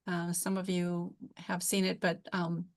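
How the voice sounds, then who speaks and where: A woman with a fairly low voice gives an informal presentation to colleagues. She talks very slowly and hesitantly, leaving frequent pauses between short phrases. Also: completely flat delivery. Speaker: organization leader presenting on a call.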